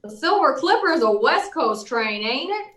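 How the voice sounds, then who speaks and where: Woman acting as rustic small-town police chief, very high lively voice, plain-spoken, old radio play style. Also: normal speed, far from the mic. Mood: skeptical. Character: folksy, skeptical small-town police chief who comes around. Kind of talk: 1940s radio drama acting. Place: live online performance in a roomy space. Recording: roomy echo, off the mic.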